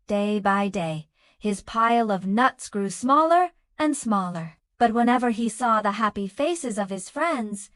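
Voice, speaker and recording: A woman's voice: mid-range voice, lively intonation, measured pace, a bit bright, dry recording. A woman reading scripted bedtime stories for children as a storyteller and host.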